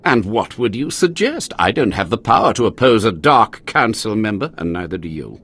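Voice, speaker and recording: A haughty, swooping Sith inquisitor. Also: male voice, on the low side, sing-song, fairly bright tone, husky, full character voice. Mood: stern warning. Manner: theatrical, drawn-out, condescending. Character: haughty, scheming male Sith inquisitor, self-preserving overseer of trials. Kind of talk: video game voice acting.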